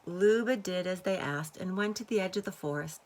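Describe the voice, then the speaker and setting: A woman's voice: lowish voice, normal speed. A woman reading a children's story aloud expressively, like a teacher to pupils. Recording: faint background noise.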